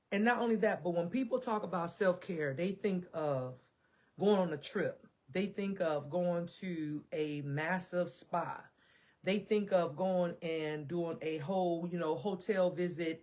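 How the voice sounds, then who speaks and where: An American woman vlogger talking casually to camera at home, emphatic and folksy, repeating phrases for emphasis, her voice a little worn as she loses it. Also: lowish voice. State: losing her voice. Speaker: self-care and parenting vlogger. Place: indoors at home.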